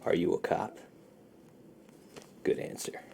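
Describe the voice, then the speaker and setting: A man doing a soft-spoken ASMR roleplay as a shady, friendly underground dealer, with slow folksy patter that often drops to a near-whisper. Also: noisy background. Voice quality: gravelly, low, often breaking into near-whisper.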